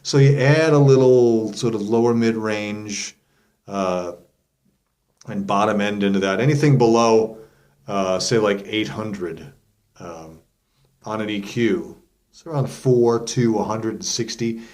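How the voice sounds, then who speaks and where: A man's voice: fairly low pitch, unhurried, darkish tone. Casual male vocal coach explaining. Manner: informal, demonstrative, step-by-step explaining. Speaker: vocal coach hosting an instructional video. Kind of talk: casual explanatory lesson to camera.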